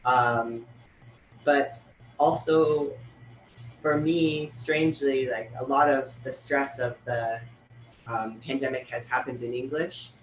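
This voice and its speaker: Slow, thoughtful man in an echoey room. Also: mid-range voice, fairly even intonation. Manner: thoughtful, loose, many fillers. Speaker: writer and fellow in a panel conversation. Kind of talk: reflective casual conversation.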